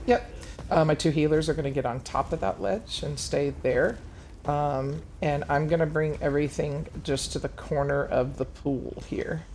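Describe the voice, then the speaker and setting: A man calmly explaining tactics step by step as he leads a group of players through a game on stream. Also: a little high, normal speed, vocal fry, a little hiss or hum. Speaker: raid leader.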